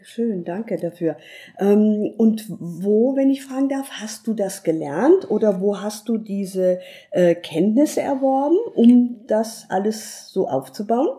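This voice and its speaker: Woman, interviewer, mellow soft-edged tone, conversational, enthusiastic and complimentary, home studio. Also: mid-range voice, lively intonation, takes it slowly. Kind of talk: radio-style interview, conversational. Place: home recording studio indoors.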